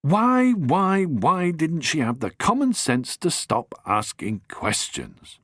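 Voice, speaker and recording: A sharp, probing young woman , as a male reader puts her on fires off one pointed question after another. Her voice sits high and swoops around lively, recorded close and dry with no room sound. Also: dry recording, full character voice. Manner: probing, questions pile up quickly.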